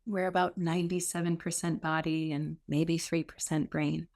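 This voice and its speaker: A woman leading a guided meditation as a teacher, speaking in an unhurried, gently instructive way with pauses. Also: sits low, flat delivery.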